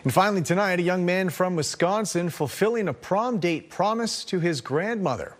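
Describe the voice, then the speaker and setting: A man with a Canadian accent reading the evening news as anchor in a crisp, measured newscaster delivery. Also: high voice, lively intonation. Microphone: studio mic.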